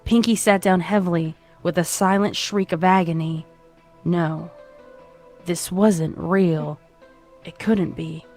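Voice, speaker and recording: Woman doing dramatic horror story narration, lowish voice, music under it. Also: steady tone, takes it slowly, a little mellow. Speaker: fan fiction narrator. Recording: music behind the reading.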